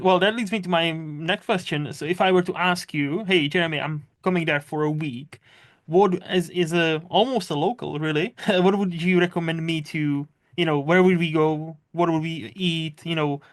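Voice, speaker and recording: A man's voice: on the high side, steady tone, normal speed. A man with a Czech accent mixed with Scottish tones, hosting a casual podcast interview and chatting in a rambling, laughing way. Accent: Czech with Scottish mix. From Czech Republic. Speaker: podcast host. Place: lives in Scotland.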